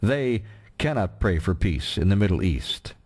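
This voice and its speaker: Low-voiced guy narrating a documentary, serious and measured. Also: big pitch swings, a little mellow.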